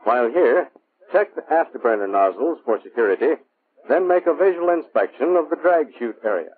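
An American man reading scripted instructional narration for a training film in a measured, clipped, instructive manner. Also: a little high, animated, breathy edge. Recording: muffled, phone-like vintage military film soundtrack.

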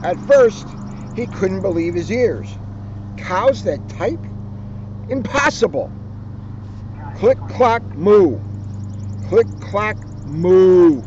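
A man's voice: sits high, lively intonation, drawn-out words, long pauses, darkish tone. An older male police officer reading a children's story aloud outdoors, expressively and dramatically, with joking asides. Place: outdoors on an open grassy lawn. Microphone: camera a short distance away. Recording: muffled outdoor recording with background noise.

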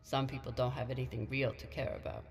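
An actor playing a steady, dry, matter-of-fact spaceship captain in a video game. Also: male voice, mid-range voice, fast talker, vocal fry, a little hiss or hum, lightly acted. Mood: dry, disdainful.

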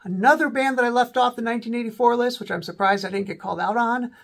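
A man in his forties with a cold and a slightly sore, raspy throat, casually talking through rock albums as host of his own channel, with many ums and self-corrections. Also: extremely high pitch, quick pace, fairly bright tone. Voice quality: raspy, voice may crack. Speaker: rock music video host. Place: small indoor room with a backdrop.

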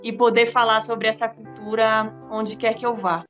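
High-voiced woman with a Manaus accent. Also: little pitch movement, moderate pace, dull audio. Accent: Manaus Brazilian Portuguese. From Manaus, Brazil. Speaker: interviewee, singer. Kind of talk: casual interview talk. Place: indoor room on a video call. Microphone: phone or computer on a video call. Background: music.

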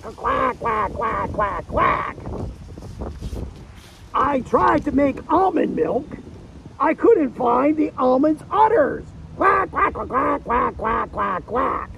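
Very high, creaky cartoon duck. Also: male voice, background noise, full character voice. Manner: silly, punctuates jokes with quacking. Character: goofy wisecracking cartoon duck comedian. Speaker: stand-in comedy host. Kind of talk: one-liner joke routine with quacks.

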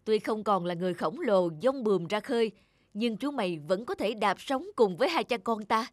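Man as a gentle cartoon fisherman father. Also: high voice, expressive, normal speed. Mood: kindly. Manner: gentle, reassuring. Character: kindly, encouraging fisherman father. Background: background music.

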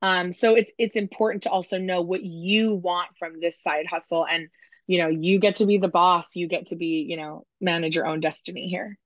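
A woman's voice: fairly low pitch, steady tone, normal speed, no room sound. A woman around forty giving conversational panel advice in an emphatic, encouraging way over a video call. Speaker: panelist, small business owner. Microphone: video call microphone. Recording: dull, compressed video-call audio.

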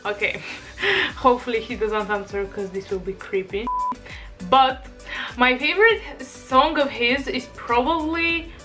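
A woman's voice: high-pitched, measured pace, fairly bright tone, soft background music. Bubbly Bulgarian teen girl vlogger. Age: teens. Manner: bubbly, giggly, rambling with fillers. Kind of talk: casual chat to camera. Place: small bedroom, indoors. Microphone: camera in front.

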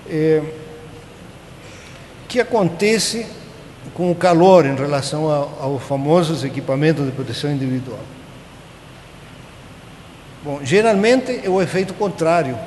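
A man in his sixties from Chile, a native Spanish speaker with a Chilean accent, gives an informal technical lecture in a halting manner, often checking in with tag questions. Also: on the high side, a little mellow. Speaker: conference speaker. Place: large conference auditorium. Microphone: podium gooseneck microphone. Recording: echoey auditorium with clear background noise.

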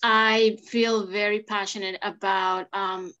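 A woman's voice: mid-range voice, flat delivery, slow, creaky, pushed and bright, dull audio. A woman from El Salvador, giving an informal, enthusiastic artist talk with some searching for words. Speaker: visual artist and art instructor. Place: indoors on a video call. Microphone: video call microphone.

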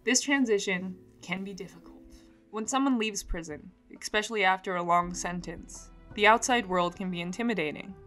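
A woman narrates a documentary in a measured, informative voice. Her pitch sits in a middle register, and faint music plays underneath. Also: a bit bright. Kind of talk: scripted narration.

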